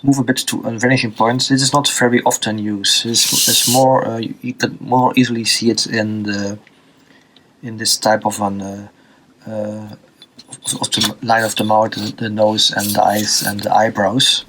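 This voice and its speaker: A man from Belgium with a Dutch accent, teaching a drawing lesson off the cuff, pausing often with fillers and restarts while he draws. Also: lowish voice, little pitch movement, crowd noise, rough recording. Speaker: art teacher. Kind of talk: unscripted drawing tutorial.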